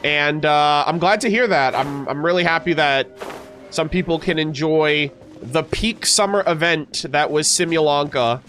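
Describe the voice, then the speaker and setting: A man's voice: a little high, a little slow, a bit bright, soft background music. A man streaming a game, chatting casually with viewers, slightly nasal and stuffed up, with many fillers and restarts.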